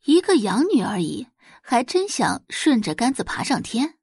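A woman's voice: a little high, very animated, normal speed, creaky, darkish tone, slightly rough, no echo, studio quality. A woman giving scripted, dramatic narration as the narrator of an audiobook drama.

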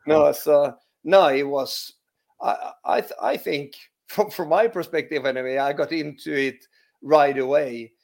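A man with a Swedish accent, a former footballer, answering interview questions in a relaxed, halting way, full of restarts and "you know". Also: on the high side, creaky. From Sweden. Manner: hesitant, many restarts and "you know" fillers.

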